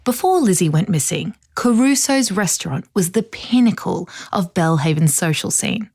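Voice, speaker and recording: An actress playing a female true-crime podcast host, narrating in a measured, insinuating, dramatic way from a script. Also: on the low side, expressive, darkish tone, no room sound, studio quality. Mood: storytelling.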